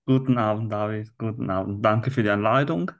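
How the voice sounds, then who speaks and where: A man's voice: lowish voice, brisk. A man from Italy, a native Italian speaker with an Italian accent, chatting as a podcast guest and often searching for his words. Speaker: language teacher, interview guest. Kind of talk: casual podcast conversation.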